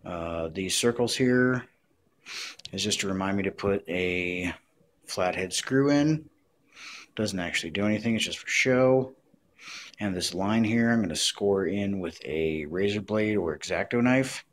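A man's voice: sits low, measured pace, slight background noise. A man giving a casual hands-on craft tutorial, explaining step by step in plain words.

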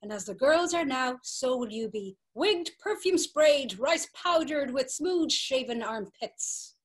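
A woman's voice: sits high, expressive, measured pace. Woman playing a domineering, taunting brothel madam. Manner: commanding, taunting. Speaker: stage actor. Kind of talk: dramatic reading over a video call. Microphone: video call.

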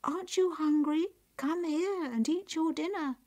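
Woman putting on a storybook enchanted object, high-pitched, sing-out calling, sweetly luring. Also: a little slow, warm tone. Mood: coaxing. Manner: calling, coaxing. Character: enchanted talking tree and plate, sweetly luring a traveller.

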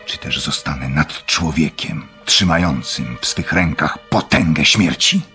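Brooding doctor, obsessed with power, extremely low voice, faint music, radio drama monologue. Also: male voice, expressive, unhurried, sharp tone, heavily acted. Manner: brooding, questioning.

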